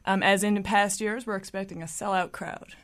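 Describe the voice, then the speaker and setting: A woman guest on a radio show talks about the event she organizes. She is friendly and promotional, with easy laughter, and her pitch sits in the middle of the range. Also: fairly even intonation, moderate pace. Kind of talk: casual radio chat.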